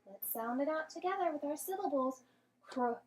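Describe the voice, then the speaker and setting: A woman teaching young children through an interactive read-aloud, speaking in clear instructional phrases. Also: high-pitched, lively intonation, normal speed, a little hiss or hum. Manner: clear instructional phrasing, questioning, sounding out syllables. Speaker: primary school teacher.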